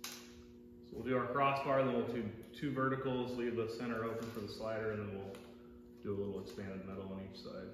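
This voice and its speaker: A man's voice: lowish voice, flat delivery, brisk. Blunt, wry man in an echoey shop. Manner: blunt, wry, plain-spoken, swears casually. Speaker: fabrication shop owner. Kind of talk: casual shop vlog narration. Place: large metal fabrication shop indoors. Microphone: camera mic, often across the shop. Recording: echoey shop, clear background noise.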